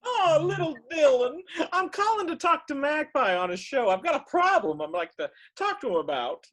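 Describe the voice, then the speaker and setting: A woman's voice: high voice, sing-song, moderate pace, a bit bright. A woman playing a giddy, gushing, clingy flamingo in a comedy table read.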